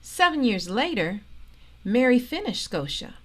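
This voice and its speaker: Slow, expressive woman reading a children's story. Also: fairly high pitch. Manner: expressive, deliberate storytelling delivery with emphatic pauses. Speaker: storybook reader, organisation president. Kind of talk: scripted children's story read-aloud.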